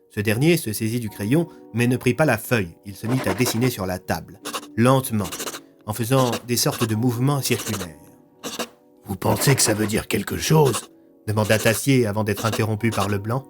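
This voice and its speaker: A man narrating a scripted audio story as its storyteller. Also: fairly low pitch.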